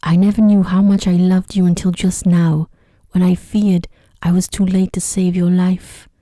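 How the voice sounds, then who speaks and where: A woman reads as a tender young fairytale heroine, kind-hearted and earnest. The voice is soft, very mellow and dry, in a hushed ASMR storybook style. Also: on the low side, little pitch movement, normal speed, no room sound, close to an everyday voice. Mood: relieved, loving.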